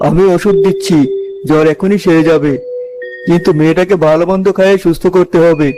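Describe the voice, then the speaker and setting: Slightly high, matter-of-fact village healer played by a man. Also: fairly even intonation, a little fast, close to an everyday voice. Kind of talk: Bengali moral-story cartoon dubbing. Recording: music under the voice.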